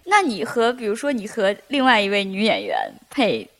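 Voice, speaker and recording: A woman's voice: medium pitch, huge pitch swings, normal speed, a bit bright. A woman hosting a podcast in a casual, chatty style, asking questions and reacting. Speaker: podcast host. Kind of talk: casual chat.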